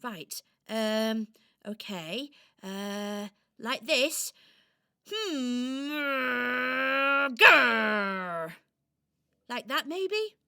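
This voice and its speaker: A woman's voice: fairly high pitch, sing-song, extremely slow, pauses often, sharp tone, smooth, dry recording, studio quality, exaggerated voice. A woman playing a timid, earnest young female hero who is trying to be brave, hesitant and wondering, in a children's radio play.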